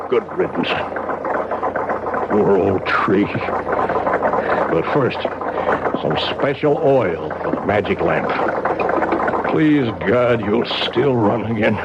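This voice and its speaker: A man playing an obsessive, irritable clockmaker who tells his eerie tale in a 1970s radio mystery, with dramatic, measured delivery and tense outbursts. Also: fairly low pitch, expressive, very noisy, exaggerated voice. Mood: brooding, desperate. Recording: dull radio recording with loud music behind.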